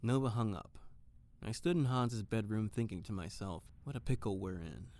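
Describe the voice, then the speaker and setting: An actor playing an earnest private detective, narrating and talking in a dry, deliberate noir style for audio drama. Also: male voice, fairly low pitch, lively intonation, darkish tone, dry recording, close to an everyday voice. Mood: dry, serious.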